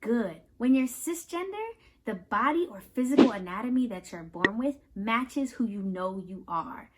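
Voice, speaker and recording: Woman, high-pitched voice, very lively intonation, children's read-aloud, instructive, speaks directly to young listeners. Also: normal speed, heavy background noise. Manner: animated, instructive, addresses listeners with questions. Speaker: host reading a picture book aloud to children.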